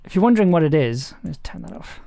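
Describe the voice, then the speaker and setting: A person casually talking through audio editing work on a livestream, halting and trailing off while thinking aloud. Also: medium pitch, sing-song, dark tone. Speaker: audio drama maker streaming the editing process.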